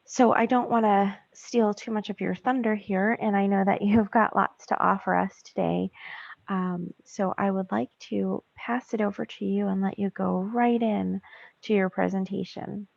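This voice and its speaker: A woman hosting an online meeting, introducing a speaker in a halting way with frequent ums, over a video call. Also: medium pitch, fairly even intonation, creaky, darkish tone, no room sound. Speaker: meeting host. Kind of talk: webinar introduction. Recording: dull dry call audio.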